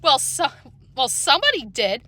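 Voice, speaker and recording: A woman voicing a brash, combative young girl in a children's story, loud, taunting and emphatic. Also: sits high, wildly swooping pitch, moderate pace, no echo, voice pushed up, heavily acted. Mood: defiant. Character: brash, combative young girl called Jane, quick to yell.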